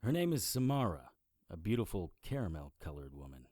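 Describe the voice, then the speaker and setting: A wry male investigator tells his case like a noir audio drama. His voice is low and dark, recorded dry, with a deadpan delivery that stays unimpressed by the strange. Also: fairly quick, no room sound. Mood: probing. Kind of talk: first-person detective audio drama narration.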